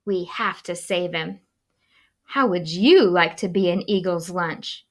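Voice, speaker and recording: A woman's voice: fairly low pitch, slow. A woman voicing a small, earnest, bossy prairie dog in a storybook, with pleading, urgent commands. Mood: indignant.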